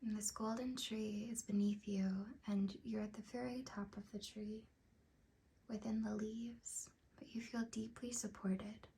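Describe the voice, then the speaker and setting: A woman leading a guided meditation in a breathy, whispery ASMR voice, speaking gently and soothingly with long unhurried pauses. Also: medium pitch, level tone, a little hiss or hum.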